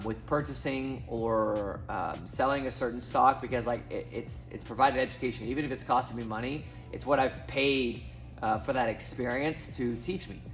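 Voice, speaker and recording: Rambling man in his thirties. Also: mid-range voice. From Southwest Missouri, USA. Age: 30s. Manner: rambling, many ums and restarts. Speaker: finance YouTuber. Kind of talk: casual talking-head vlog.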